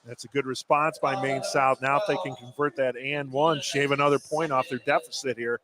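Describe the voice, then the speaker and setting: A man's voice: medium pitch, fairly even intonation, a little fast, sharp tone. A man giving short analytical remarks as color commentator on a live radio basketball broadcast. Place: indoor high school gymnasium. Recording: crowd noise behind live broadcast. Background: gym crowd.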